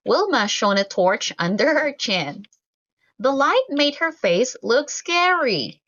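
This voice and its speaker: Animated high-voiced woman reading a storybook. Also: slow, highs cut off. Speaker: storybook reader. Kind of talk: scripted children's story read-aloud.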